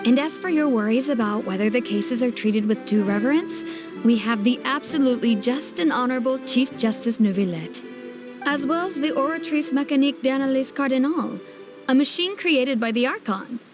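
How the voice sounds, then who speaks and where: An eloquent townswoman with a high, light voice and polished, theatrical phrasing. She speaks as a game cutscene character. Game music sits clearly behind her. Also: lively intonation, moderate pace. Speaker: local townsperson. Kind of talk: video game voice acting. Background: game score music.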